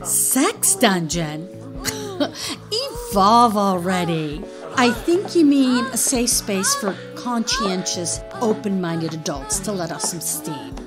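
A woman's voice: mid-range voice, sing-song, slow pace. A woman playing a breezy, unflappable underworld restaurant hostess with chirpy customer-service politeness in an audio comedy sketch. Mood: dismissive, amused. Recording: music behind the voice.

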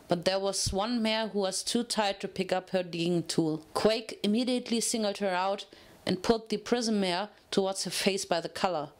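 A woman narrator giving a dramatic reading of a story. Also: mid-range voice.

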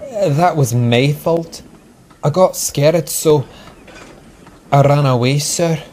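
A man's voice: fairly high pitch, very animated, slow pace, mellow, a little hiss or hum, pitched above the performer's own voice. A man voicing a nervous steam engine in a children's story, with a Scottish accent and a quavering, muttering manner. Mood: sheepish. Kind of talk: children's storybook character acting.

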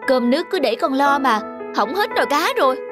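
A woman voicing a busy, impatient adult daughter and mother in an animated family drama, brisk and fussing. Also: sits high. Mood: exasperated. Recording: music under the voice.

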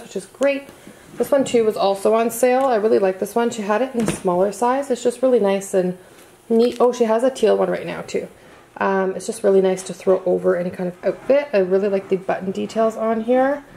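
Chatty mom vlogger at home. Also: female voice, medium pitch, vocal fry, a little mellow, slight background noise, poor audio. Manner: chatty, upbeat, many fillers. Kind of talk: casual talk to camera. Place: home dining room and kitchen.

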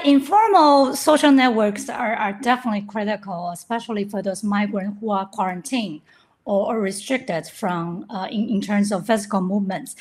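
A woman speaking as a webinar panelist on a video call, measured, with some repetitions. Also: mid-range voice. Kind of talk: webinar discussion.

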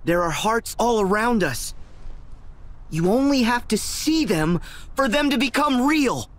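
A man's voice: very high-pitched, big pitch swings, a little slow, exaggerated voice. A man playing an earnest, idealistic teenage boy hero in a video game, sincere and emphatic. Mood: urgent, defiant.

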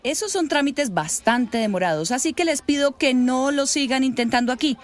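Curt Colombian hospital director, higher voice. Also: female voice, expressive, moderate pace. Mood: officious, dismissive. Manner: officious, condescending. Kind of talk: radio soap opera acting.

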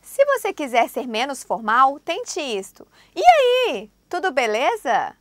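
A woman with a high, lively voice narrates a language lesson from a script. She prompts each phrase clearly and at an even pace. The studio sound is dry and close. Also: a little slow, dry recording. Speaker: language course presenter.

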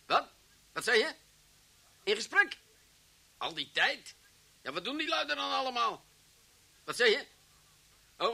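Casting: impatient Dutch police boss, radio play, high voice, very sharp and forceful. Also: male voice, heavily acted. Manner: blustering, halting, exasperated. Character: gruff, impatient, blustering male Dutch police chief.

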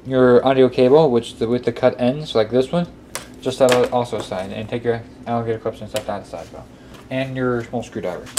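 A man's voice: mid-range voice, warm tone. A man presenting a casual step-by-step tutorial, often repeating himself as he instructs. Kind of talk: casual hands-on how-to instruction. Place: indoor workbench. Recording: clearly noisy amateur room recording. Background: clear background noise.

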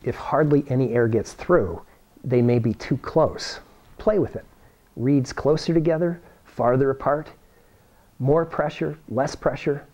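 A man's voice: mid-range voice, unhurried, darkish tone, dull audio. A man, giving a plain-spoken, encouraging how-to demonstration to camera as an instructor. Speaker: science toy-making instructor. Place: indoor workroom with cabinets.

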